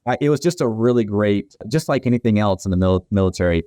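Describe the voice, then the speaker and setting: A man's voice: lowish voice, expressive, fast, mellow, no echo, clean studio sound. A man in his forties, a company founder, answering interview questions in a friendly, rambling way. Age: 40s.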